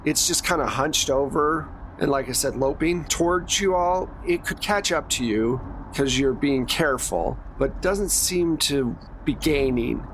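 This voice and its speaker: A man's voice: on the high side, unhurried, few pauses, fairly bright tone, poor audio. Man narrating a tabletop game over background noise. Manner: descriptive, casual, unscripted storytelling. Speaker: game master.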